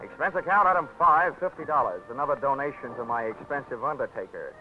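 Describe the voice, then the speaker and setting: A man's voice: medium pitch, animated, fast, close to an everyday voice. An actor playing a hard-boiled male insurance investigator who narrates in a wry, clipped, wisecracking style in a 1940s radio drama. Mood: narrating. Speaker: lead and narrator. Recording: dull old radio broadcast with music.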